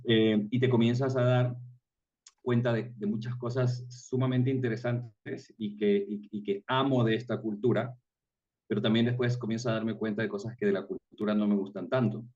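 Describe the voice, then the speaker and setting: A man's voice: fairly low pitch, fairly even intonation, normal speed, a little mellow. Distant-sounding Ecuadorian man in conversation. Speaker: interview guest, tour guide. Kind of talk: casual interview, personal anecdotes. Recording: distant mic, slightly muffled sound.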